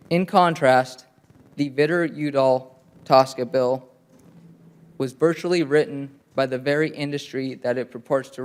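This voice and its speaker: A man speaking at a press conference in a forceful, rhetorical way. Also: mid-range voice, smooth.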